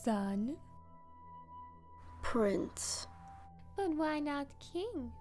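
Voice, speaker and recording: A woman voicing eerie ancient witches who speak in slow, ominous riddles. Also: high voice, sing-song, a little mellow, faint music behind, exaggerated voice. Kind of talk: fan audio drama acting.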